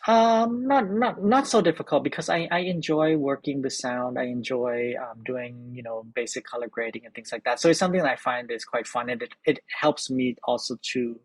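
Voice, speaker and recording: A middle-aged man from Thailand, a film editor and director, answering interview questions in a halting way with many repeated words and fillers. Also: mid-range voice, dry recording.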